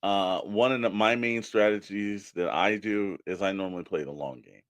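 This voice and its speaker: Low-voiced man rambling casually on a podcast. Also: moderate pace, no room sound. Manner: rambling, informal, conversational advice-giving. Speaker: podcast co-host.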